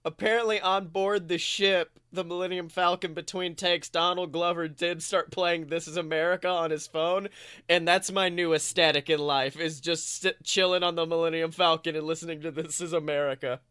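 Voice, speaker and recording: High-pitched man in casual, profane film chat. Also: moderate pace, smooth, no echo, studio quality. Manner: animated, profane storytelling with quoted reactions. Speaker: podcast co-host.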